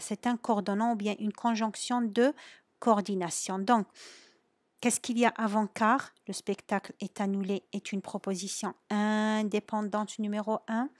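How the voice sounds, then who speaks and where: A woman's voice: medium pitch, flat delivery, unhurried, no room sound. Woman teacher going over grammar, asks then answers herself, dry close sound. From Algeria. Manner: asks questions then answers them, stresses key words. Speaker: French teacher. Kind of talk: grammar lesson with questions to students.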